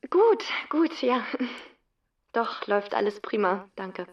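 A woman's voice: medium pitch, sing-song, slow pace, full character voice. An actress playing a friendly, flustered mother on the phone, tentative and hesitant, in a German radio drama with a distant, dull sound. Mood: friendly, guarded.

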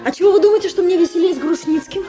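An actress playing a haughty, teasing young aristocratic princess in a Russian radio play, cool and coquettish. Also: female voice, very high-pitched, very fast, warm tone, faint music behind. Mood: playful. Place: studio radio drama, music behind.

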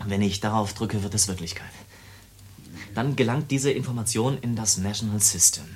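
Actor as military computer operator, noisy recording. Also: male voice, lowish voice, normal speed, close to an everyday voice. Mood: quietly brooding. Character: male military radar operator, casually showing off doomsday machinery. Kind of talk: German radio play dialogue.

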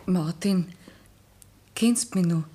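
An actress playing a worried, gentle peasant wife in a 1960s radio play, soft and anxious. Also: female voice, fairly low pitch, slow, breathy edge. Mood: tender. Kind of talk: 1960s radio drama acting.

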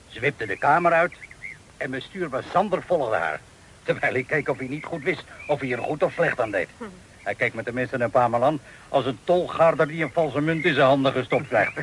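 A man's voice: medium pitch, natural voice. A man acting a talkative, folksy Dutch sea captain of forty-five in an old radio play. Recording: old radio recording with hiss.

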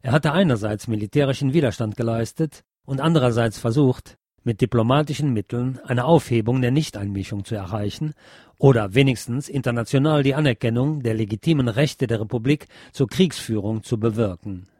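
A man's voice: medium pitch, brisk, darkish tone, slightly rough, no echo. Dry, close-recorded man reading history aloud. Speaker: podcast host and reader of a history book. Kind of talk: scripted narration, reading a history book aloud.

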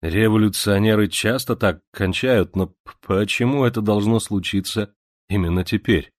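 A man's voice: on the low side, normal speed, no room sound, clean studio sound, natural voice. A man reading aloud who voices a weary, ironic male revolutionary with a lazy, drawling manner. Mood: gentle. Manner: drawling, mocking, then gentle.

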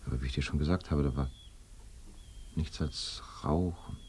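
An actor voicing the ghost of a lost young horseman in a radio drama, husky, halting and bewildered, drifting into breathy near-whispers. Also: a little mellow, slight background noise. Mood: dazed, haunted. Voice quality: husky, very low. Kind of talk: binaural radio drama acting.

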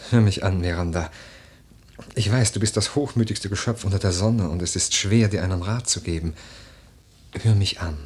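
Earnest, melancholy aristocrat: low, husky, grave and measured, literary radio play. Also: male voice, a little mellow. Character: earnest, melancholy nobleman, the widow's admonishing cousin. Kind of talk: German literary radio drama acting.

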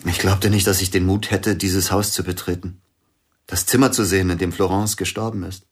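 Radio play: a bereaved pianist, deep voice, husky and hushed. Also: male voice, flat delivery, a little fast. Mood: grieving. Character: grieving widowed male concert pianist. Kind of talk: radio drama acting.

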